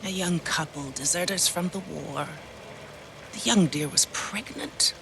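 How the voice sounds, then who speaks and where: A woman's voice: lowish voice, animated, measured pace, creaky, fairly bright tone, a little hiss or hum, full character voice, rough recording. A woman playing a sweet-talking, cunning old widow with a doting, theatrical manner in a video game. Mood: plaintive. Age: older. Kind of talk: video game cutscene acting.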